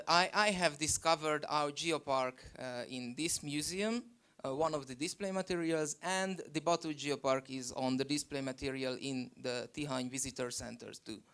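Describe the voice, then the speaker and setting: A man from Hungary presenting his geopark from slides over a video call, halting with many fillers. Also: a little high, fairly bright tone, smooth.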